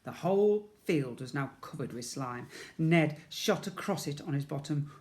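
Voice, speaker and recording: A man's voice: on the high side, lively intonation, normal speed, darkish tone. A man narrating a children's storybook in a playful, dramatic way, acting out sound effects and leaning on repeated words. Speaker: primary school teacher reading to children.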